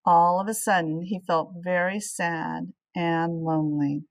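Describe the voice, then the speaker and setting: A middle-aged woman reading a children's storybook aloud expressively, with measured, deliberate phrasing, as a teacher would. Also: on the low side, fairly even intonation.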